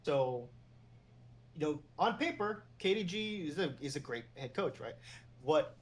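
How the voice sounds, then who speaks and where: A man from New York City, a former team general manager and agent, talking as a guest in a relaxed, meandering way with the odd chuckle. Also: on the high side, a little slow, slight background noise. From New York City, USA. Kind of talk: casual interview conversation.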